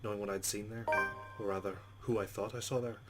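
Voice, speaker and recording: A man's voice: lowish voice, fairly even intonation, fast talker. A man hosting a let's play, reading story text aloud and commenting casually on the game. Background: game music.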